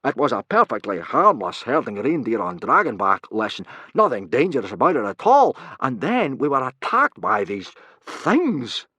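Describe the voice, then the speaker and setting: A man's voice: mid-range voice, wildly swooping pitch, normal speed, hardly any pauses, sharp tone, slightly rough, no echo, pitched above the performer's own voice, heavily acted. A man voicing a flustered, earnest Viking teacher who stammers out excuses in a storybook.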